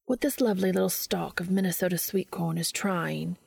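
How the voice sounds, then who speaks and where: A woman's voice: on the low side, normal speed, vocal fry, darkish tone, breathy edge, no room sound, clean studio sound, heavily acted. A woman voicing a sardonic, mischievous Englishman with an English accent and a theatrical, condescending drawl, in an audiobook story. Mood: exasperated, mocking.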